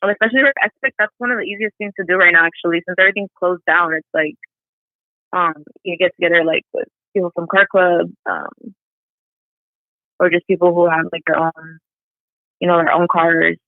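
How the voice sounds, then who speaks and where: A woman's voice: lowish voice, steady tone, brisk, no echo. A young woman chatting casually as an interview guest over a phone call, peppering her talk with "like" and "you know.". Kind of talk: casual chat. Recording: tinny phone line, dry.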